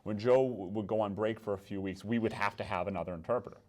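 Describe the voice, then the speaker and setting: A man's voice: lowish voice, quick pace. An American man speaking conversationally as an interview guest. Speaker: interview guest, military veteran.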